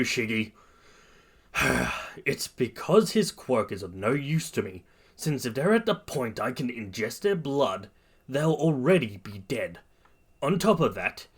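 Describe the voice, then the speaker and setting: Man playing a condescending villain mastermind. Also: mid-range voice. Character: irritated, superior adult male villain mastermind.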